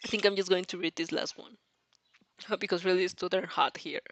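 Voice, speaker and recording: A woman's voice: on the low side, steady tone, fairly quick, fairly bright tone, dry recording, muffled recording. A woman casually reading fan fiction aloud and commenting as a reaction host, playful and exclamatory, easily breaking into giggles.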